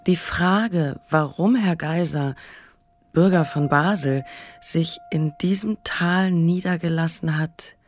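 A woman reads literary prose as narrator of a radio play. She speaks very slowly in a notably low voice, with faint music in the background.

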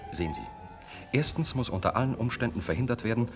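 An actor in a German radio play, playing an ambitious research professor who talks emphatically and persuasively. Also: male voice, mid-range voice, expressive, fast, over music, lightly acted. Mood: insistent, persuasive. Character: insistent, ambitious male professor of medical research.

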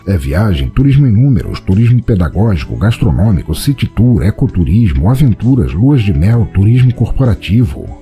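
A man's voice: sits low, warm tone. A Brazilian man hosting a podcast, reading scripted narration and listener feedback in a theatrical, playful, informal manner. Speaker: podcast host.

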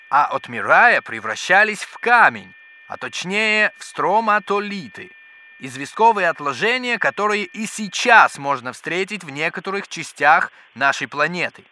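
An actor playing an excitable, pedantic scientist in a children's educational audio drama, with theatrical, exclamatory delivery. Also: male voice, sits high, a little slow, exaggerated voice. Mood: eager, pedantic. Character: excitable, bookish male scientist, absorbed in microbes. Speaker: scientist character.

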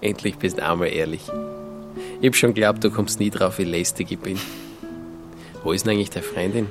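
A man's voice: deep voice, fairly quick, over music, natural voice. An actor playing a folksy, nosy Alpine countryman with an Austrian accent, teasing and direct, in an audio drama. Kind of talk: audio drama dialogue acting.

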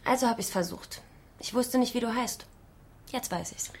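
A woman's voice: a little high, normal speed. An actress playing a plucky, stubborn young woman in her early twenties in a radio drama, cheeky and insistent. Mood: cheeky, determined.

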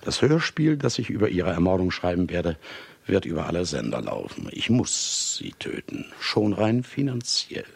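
A man's voice: low-pitched, big pitch swings, normal speed, heavily acted. An actor in a German radio play playing a cynical, menacing man of letters who kills for material, with grandiloquent, sardonic, theatrical delivery. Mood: coldly matter-of-fact. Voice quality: gravelly, husky. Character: cynical, menacing famous male writer who murders for material.

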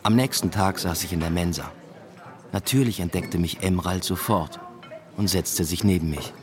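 Low-voiced, understated male narrator played by an actor. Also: normal speed, crowd noise, close to an everyday voice. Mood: restrained. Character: restrained male university lecturer telling his story. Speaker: narrator and lead. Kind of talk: scripted first-person radio play narration.

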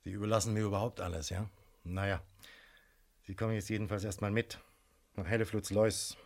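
An actor playing a brusque, officious police inspector in a radio crime drama, curt and commanding. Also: male voice, on the low side, little pitch movement, a little fast, a little mellow. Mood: brusque, impatient.

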